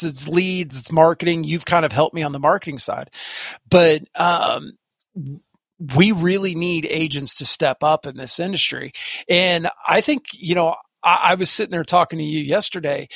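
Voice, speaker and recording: Guy with a highish voice rambling on a podcast, lots of restarts, dry close sound. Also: vocal fry, fairly bright tone, no room sound. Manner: rambling, self-interrupting, many restarts. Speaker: podcast host, software company executive. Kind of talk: casual industry chat.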